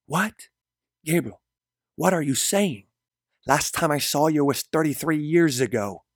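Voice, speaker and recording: A man's voice: on the high side, animated, measured pace, dry recording, studio quality, voice pushed up. A man voicing a frightened, indignant middle-aged woman in an audiobook drama, with an agitated delivery. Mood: shocked. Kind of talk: dramatic audiobook character dialogue.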